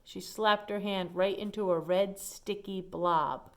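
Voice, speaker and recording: A woman's voice: medium pitch, fairly even intonation, slow. Woman reading a children's story aloud. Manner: explains the story in simple asides. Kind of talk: expressive children's picture book read-aloud with asides.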